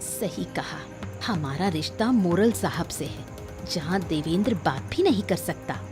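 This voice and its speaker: A woman voicing a spiteful, scheming village wife in an animated moral story, mocking and scornful. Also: fairly low pitch, a little slow, over music. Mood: spiteful, gloating.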